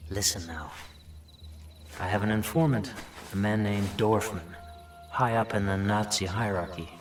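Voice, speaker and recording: An actor playing a confident, folksy American intelligence officer giving briefings in a wartime video game. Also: male voice, fairly low pitch, a little slow, soft background music, natural voice. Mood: confiding, businesslike. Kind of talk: video game cutscene acting.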